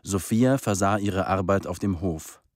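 A man narrates a literary radio drama. His voice is deep and he takes his time, measured and solemn. The sound is very dry, with no room around it. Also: dry recording.

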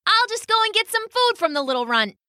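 Woman playing a brash, high-pitched bully bird. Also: big pitch swings, brisk, pushed and bright, no echo, clean studio sound, heavily acted. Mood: eager, scheming. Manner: pushy, taunting. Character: brash bullying hungry female pheasant. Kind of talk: children's storybook character voice.

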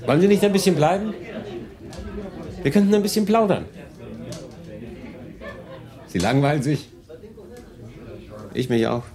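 An actor playing a world-weary German refugee in a wartime radio play, confiding and rambling to a silent listener. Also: male voice, fairly high pitch, very animated, measured pace, pauses often, darkish tone. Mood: eager, inviting. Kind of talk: radio play acting, conversational monologue. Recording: busy café murmur behind. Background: crowd in a café.

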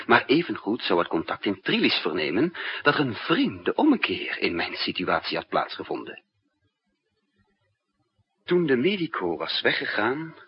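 A man's voice: mid-range voice, slightly rough, full character voice. An actor playing a weary male spy who tells his desperate story in dramatic first person in a 1960s radio drama. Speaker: narrator and lead character. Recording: dull muffled 1960s radio recording.

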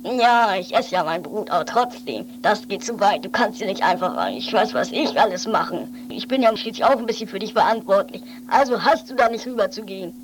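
A woman's voice: medium pitch, expressive, brisk, few pauses, noisy background, close to an everyday voice. A woman playing a weary, bossy but protective guardian in an improvised radio play, grumpy and dismissive. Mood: scolding.

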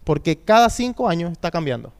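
A man preaches as a youth pastor. His voice sits high and sounds smooth and resonant. He leans on repetition and asks the congregation to say lines back with him. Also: animated, a little fast, darkish tone. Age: 30s. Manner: preaching with call-and-response, emphatic repetition. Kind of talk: sermon.

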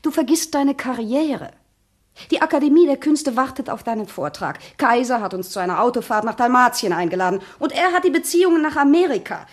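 An actress playing a haughty, impatient big-city fiancée in a German radio play, scornful and imperious. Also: female voice, sits high, animated, fairly quick. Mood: reproachful, demanding. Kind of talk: German radio drama acting.

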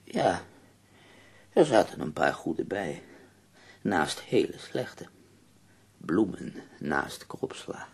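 A man acting in a Dutch radio play as an ailing, nearly blind poet, husky and gravelly, formal, tender and wry. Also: low-pitched, very animated, drawn-out words, long pauses, full character voice. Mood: wry, reflective.

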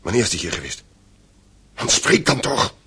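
An actor playing a middle-aged gentleman in a radio play, an anxious, gruff father with a weary, urgent manner. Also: mid-range voice, huge pitch swings, moderate pace.

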